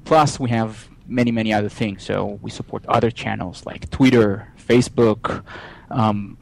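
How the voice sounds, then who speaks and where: A man in his thirties from Israel with an Israeli accent, a software developer guest speaking casually with many fillers and restarts. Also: fairly low pitch, fairly even intonation, vocal fry, slight background noise, rough recording. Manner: halting, frequent restarts and fillers. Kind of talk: casual podcast interview.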